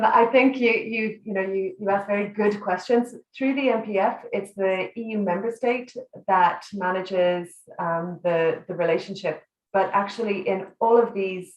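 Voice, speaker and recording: A woman with a low, even voice explains migration programs in a panel discussion. She speaks in a measured way and pauses often to search for words. The recording sounds roomy. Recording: roomy echo.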